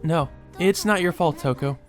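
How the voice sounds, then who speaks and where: A man's voice: on the high side, normal speed, voice pushed up, natural voice. A man voicing a stubborn, anxious teenage schoolgirl in a visual novel, hesitant and defensive. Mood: worried. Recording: music behind the voice.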